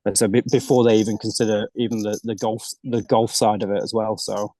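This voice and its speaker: A man's voice: fairly low pitch, level tone, a little fast, mellow, no room sound. A man from the UK, a golf coach living abroad, chatting as an interview guest and often restarting his sentences. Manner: chatty, many restarts and repeated words. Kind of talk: casual interview chat.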